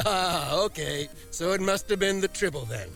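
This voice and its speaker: An easygoing starship commander out of a video game cutscene acted by a man. The voice sits high for a man and has a gravelly creak running through it. Faint music plays behind the lines. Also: expressive, normal speed, a bit bright, exaggerated voice. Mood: amused, realizing. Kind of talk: scripted game cutscene dialogue.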